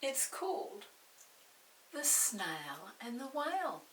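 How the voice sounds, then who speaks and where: An older woman tells a picture-book story to young children at a very slow pace. She pauses to describe and question as she goes. The recording is echoey and a little distant from the mic, with faint hiss behind her. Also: on the high side, sing-song, a bit bright. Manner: unhurried, pausing to describe pictures and ask questions.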